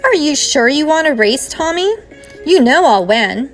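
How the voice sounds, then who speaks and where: A woman's voice: high voice, sing-song, a little slow, soft background music, voice pushed up. A woman voicing a boastful, speedy young male hare in a children's storybook, bragging and teasing. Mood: cocky, teasing.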